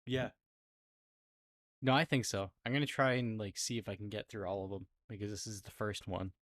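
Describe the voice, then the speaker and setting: A man's voice: lowish voice, steady tone, normal speed, fairly bright tone, no room sound, studio quality. A man in his early twenties co-hosting a podcast in casual chat, laughing often and filling his talk with "like". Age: early 20s. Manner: laughs often, many "like" fillers. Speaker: podcast co-host.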